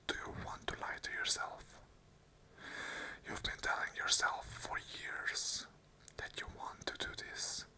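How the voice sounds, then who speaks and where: An ASMR host whispering an unscripted monologue of earnest, repeated affirmations in short phrases. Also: low-quality recording. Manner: whispers the whole way, soft and unvoiced.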